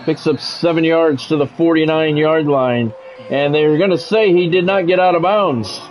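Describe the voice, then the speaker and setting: A man's voice: a little high, moderate pace, slight background noise, poor audio. A man doing play-by-play of his own football video game in short, blunt phrases, mixed with casual gamer talk.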